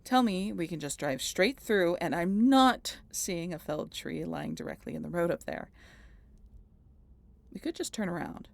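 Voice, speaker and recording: A woman's voice: on the low side, lively intonation, moderate pace, gravelly, no room sound. A woman playing a snarky, teasing female vampire in an audio roleplay, talking to the listener in a wry, conversational way. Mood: dreading, pleading. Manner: sardonic, wry, playful, conversational. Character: snarky, swearing female vampire road-tripper, teasing and dramatic.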